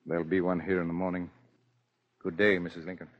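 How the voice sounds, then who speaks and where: An actor playing a formal, tactful family doctor in a measured 1940s radio drama style. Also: male voice, deep voice, level tone, very noisy, close to an everyday voice. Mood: stiff, formal. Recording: dull old 1940s radio recording.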